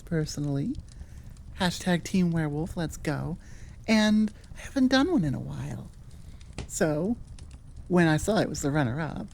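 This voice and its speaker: Mellow-voiced woman chatting casually. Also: lowish voice. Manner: informal, rambling, candid. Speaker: audio roleplay creator and channel host. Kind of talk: casual chat to listeners.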